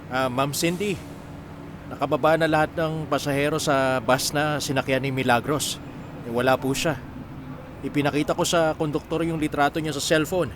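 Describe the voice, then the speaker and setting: A man's voice: fairly high pitch, steady tone, normal speed, noisy background, natural voice. A man playing an obliging driver and helper in a radio drama, hesitant and respectful. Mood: deferential.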